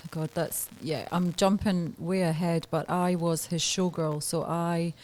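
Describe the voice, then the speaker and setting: A woman's voice: on the low side, steady tone, takes it slowly, darkish tone. A woman in her thirties, a podcast guest talking candidly and hesitantly, with frequent ums and laughs. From Scotland. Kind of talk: podcast interview.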